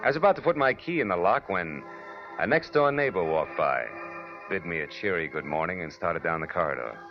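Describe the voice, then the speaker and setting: An actor playing a wry, weary private detective in a 1940s radio noir drama, with dry, deliberate, sardonic delivery. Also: male voice, deep voice, animated, highs cut off. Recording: band-limited 1940s radio recording with music.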